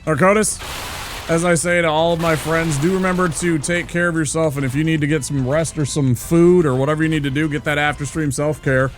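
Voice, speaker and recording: Relaxed man chatting on a game stream. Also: a little high, brisk, faint music behind. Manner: relaxed, chatty, muses aloud to chat. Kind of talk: casual live-stream chat while playing.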